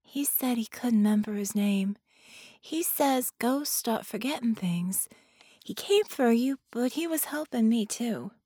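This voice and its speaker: A woman's voice: a little high, lively intonation, unhurried, mellow, husky, no room sound, studio quality, voice pushed up. A woman voicing an earnest, matter-of-fact little girl in an audiobook. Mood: earnest, hushed.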